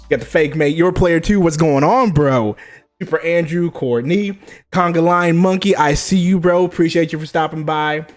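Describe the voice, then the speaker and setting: Young male streamer, upbeat and chatty, slightly high voice, desk mic, faint music underneath. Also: normal speed. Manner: hyped, chatty, greets chat by name. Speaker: gaming news streamer. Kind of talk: casual live-stream chat. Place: home streaming setup.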